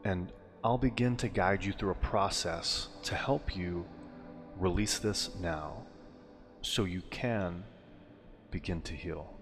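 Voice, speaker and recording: A man narrating a guided meditation in a soothing, unhurried way, with deliberate phrasing and pauses. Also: low-pitched. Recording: long reverb on the voice. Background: music.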